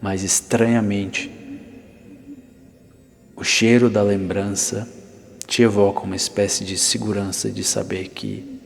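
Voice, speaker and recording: A man narrating a guided ASMR journey slowly and softly, with pauses and near-whispered moments. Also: fairly low pitch, little pitch movement, creaky. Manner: hushed, unhurried, with soft pauses, sometimes near whisper. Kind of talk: slow immersive ASMR guided narration, second person. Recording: long reverb over the voice. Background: music.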